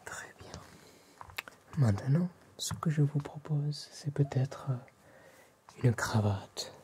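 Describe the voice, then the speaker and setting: Man acting as a hushed, slow, courteous French tailor. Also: fairly high pitch, background noise. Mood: calm, attentive. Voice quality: soft, hushed, often whispered. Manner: polite, formal, unhurried salesman-like. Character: courteous, attentive male tailor serving a client. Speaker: ASMR roleplay performer. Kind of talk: whispered ASMR roleplay.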